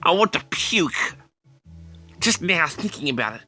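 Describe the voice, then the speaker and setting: A man telling a story to his audience as a vlog host, in a theatrical, indignant manner with dramatic pauses. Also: on the high side, very animated, bright, forceful tone, soft background music. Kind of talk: storytelling monologue addressed to the audience.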